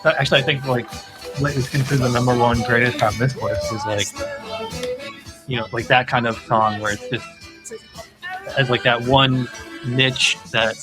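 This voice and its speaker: A male podcast host in a relaxed group chat about music. He rambles and feels his way through his thoughts at a medium pitch. Music plays clearly behind his voice. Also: normal speed, long pauses.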